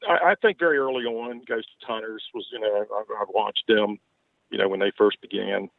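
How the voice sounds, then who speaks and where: Older man, light southern accent, low gravelly voice, folksy and rambling, tinny phone-line sound. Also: steady tone, normal speed, dry recording. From Baltimore, Maryland. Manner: folksy, rambling, chuckles often. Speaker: ghost tour owner. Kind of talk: podcast interview over a call.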